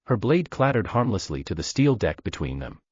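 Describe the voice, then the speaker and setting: A man's voice: lowish voice, very animated, normal speed, darkish tone, slightly rough, dry recording. A man giving grave, dramatic narration of a science fiction story. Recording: dull dry lo-fi recording.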